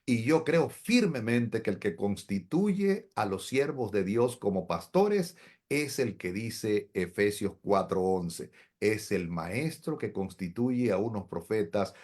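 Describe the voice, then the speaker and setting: A man's voice: lowish voice, expressive, slow, a little mellow. A middle-aged man, a pastor, telling his story in an interview in an earnest, reflective way. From Dominican Republic. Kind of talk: interview testimony.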